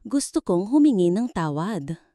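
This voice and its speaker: A woman voicing a proud older grandmother, a stern lady softening, speaking hesitantly in a story drama. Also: mid-range voice, sing-song, measured pace, no room sound, clean studio sound. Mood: remorseful. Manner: hesitant, tentative.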